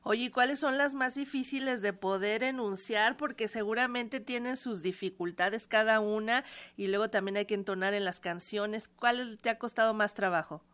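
A woman's voice: fairly high pitch, moderate pace, fairly bright tone. A woman with a Mexican accent hosting a show, presenting and interviewing a guest. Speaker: radio and video show host.

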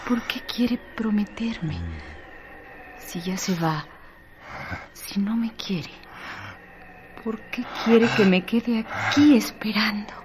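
An actress playing a devoted, anguished young woman in love in a radio drama, breathy and pleading. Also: mid-range voice, lightly acted. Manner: breathy whispering, slow and pleading. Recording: noisy radio drama track.

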